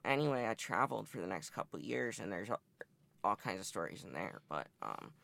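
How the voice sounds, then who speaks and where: Gravelly young man telling his story. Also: fairly low pitch, very animated, brisk, pushed and bright. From northeastern Massachusetts. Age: 20s. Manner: candid, matter-of-fact storytelling. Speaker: interviewee. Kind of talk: oral history interview.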